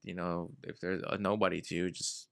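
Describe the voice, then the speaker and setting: A man's voice: low-pitched, level tone, normal speed, creaky, fairly bright tone, smooth. A man from Venezuela, a guest in a casual conversation, talking in a laid-back way and giving earnest advice.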